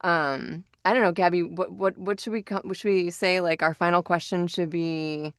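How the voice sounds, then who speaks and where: A woman's voice: on the low side, moderate pace, dry recording, studio quality. A middle-aged woman hosting a podcast in a casual, rambling, informal way. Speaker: podcast host.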